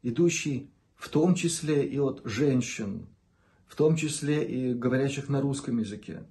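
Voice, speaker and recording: A man hosting a channel, speaking in an unscripted monologue to viewers. He is measured and deliberate, pausing between short phrases and stretching words for emphasis. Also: medium pitch, darkish tone. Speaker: channel host.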